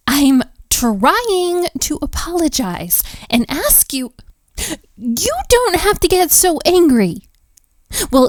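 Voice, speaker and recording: A woman playing a flustered, overworked, sharp-tongued hotel maid in a romantic roleplay audio drama, with a sarcastic, exasperated, sighing delivery. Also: high voice, dry recording, exaggerated voice. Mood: flustered, defensive.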